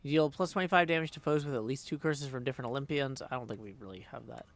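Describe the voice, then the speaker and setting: A man's voice: medium pitch, fast talker, dry recording. A man streaming a video game, chatting casually, muttering to himself and reading game text aloud.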